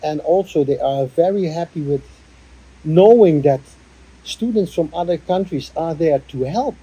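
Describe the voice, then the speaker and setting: A man, a workshop coordinator, giving a reflective interview answer in a halting way, with many fillers and restarts. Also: a little high, warm tone, husky, poor audio.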